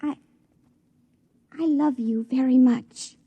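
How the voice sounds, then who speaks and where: An actress playing a tender, trusting young woman in a 1950s radio drama, speaking softly and hesitantly. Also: sits high, fairly even intonation, slow pace, breathy edge, no room sound, heavily acted. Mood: tender, earnest. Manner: hesitant, earnest.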